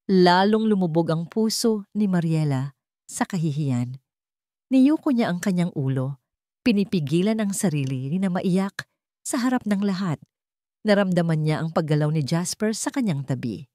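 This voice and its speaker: A woman's voice: on the low side, animated, normal speed, warm tone, no room sound, clean studio sound. A woman reading a scripted dramatic story as a storyteller.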